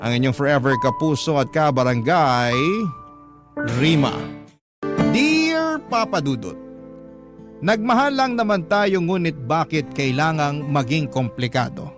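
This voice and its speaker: Casting: male radio host for dramatic letter readings, slightly high voice, prominent music bed. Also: animated, measured pace. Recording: loud music bed behind the voice. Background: loud background music.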